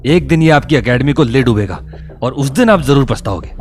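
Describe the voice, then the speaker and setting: A man's voice: mid-range voice, lively intonation, a little fast, natural voice. A man playing an insecure, resentful, whiny fellow in a Hindi audio drama. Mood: bitter, offended.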